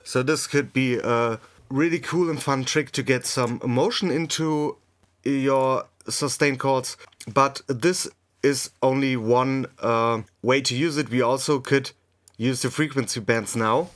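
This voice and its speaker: Slow-paced man giving a software tutorial. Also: medium pitch. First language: German. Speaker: music production tutorial host. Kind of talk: unscripted software tutorial narration.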